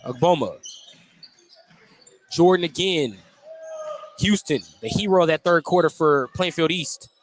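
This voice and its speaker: A man calling live basketball play-by-play on the radio, getting excited on big plays. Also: a little high, unhurried, pauses often, slight background noise. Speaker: play-by-play announcer. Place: basketball gym at a tournament.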